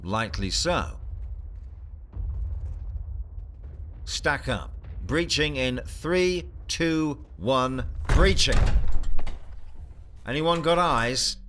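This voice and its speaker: A terse, authoritative male squad leader barks orders in an audio drama. His voice sits at a medium pitch, set against clear battlefield and vehicle noise. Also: expressive, slow, a bit bright. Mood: focused, steady. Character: commanding male squad leader of a clandestine task force.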